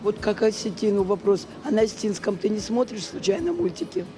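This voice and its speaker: A woman's voice: mid-range voice, sing-song, fairly quick. Woman interviewer over music, coaxing questions. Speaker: street interviewer. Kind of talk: informal vox-pop questioning.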